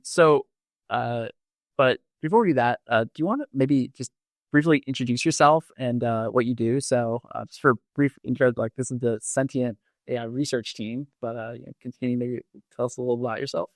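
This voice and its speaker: A man hosting a podcast in a casual interview style, speaking in a halting, informal way. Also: mid-range voice, a bit bright, no room sound, studio quality. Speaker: podcast host.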